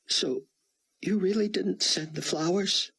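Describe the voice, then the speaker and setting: A man voicing a tearful, apologetic young woman in an audiobook, speaking softly and in near-whispers. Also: high voice, slow, breathy edge, voice pushed up, heavily acted. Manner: soft, whispery, teary. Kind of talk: audiobook character voice.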